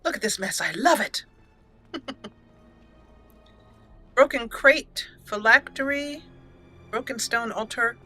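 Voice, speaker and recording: A woman's voice: a little high, animated, takes it slowly, long pauses, pushed and bright. A woman hosting a let's play, chatting casually and self-mockingly as she plays, often giggling. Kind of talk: casual gameplay commentary. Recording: faint game music behind. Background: game music.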